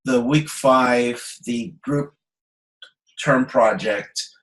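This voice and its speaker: A man teaching an informal online class as instructor, explaining step by step in a halting, conversational way and checking that listeners follow. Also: mid-range voice, a bit bright, a little hiss or hum. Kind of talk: informal online lecture to students. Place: video conference.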